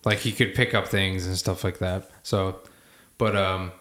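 A man's voice: deep voice, steady tone, measured pace, fairly bright tone. Laughing rambling man, echoey room. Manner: rambling, self-deprecating, laughs often. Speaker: podcast co-host, band member. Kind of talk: casual chat.

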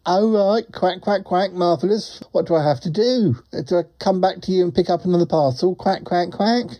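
Guy doing a chirpy traveling duck, quacks after everything, soft mellow voice. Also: high-pitched, moderate pace. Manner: tacks quacks onto every sentence. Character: cheerful globetrotting duck who quacks constantly.

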